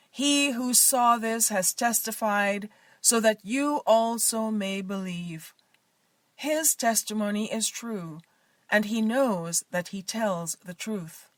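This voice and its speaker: A woman's voice: mid-range voice, dry recording. A woman reading scripture aloud in a solemn, formal style as a reader for a church service.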